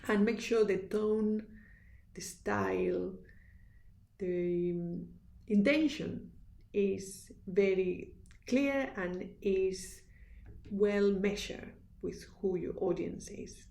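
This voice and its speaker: Spanish-accented woman lecturing, gravelly and mellow. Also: mid-range voice, extremely slow, reverberant. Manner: earnest, instructive, with restarts and fillers. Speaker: university lecturer. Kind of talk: recorded lecture.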